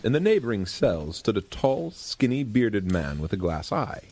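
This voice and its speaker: A man's voice: on the low side, sing-song, normal speed, darkish tone. A man giving scripted, dramatic narration for an audio story. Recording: faint background noise.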